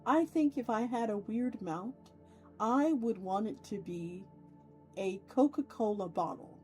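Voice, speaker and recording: A woman's voice: medium pitch, animated, unhurried, a little mellow, faint music behind. A woman hosting a casual livestream, chatting with viewers in an effusive, playful way with theatrical turns of phrase. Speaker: VTuber livestream host.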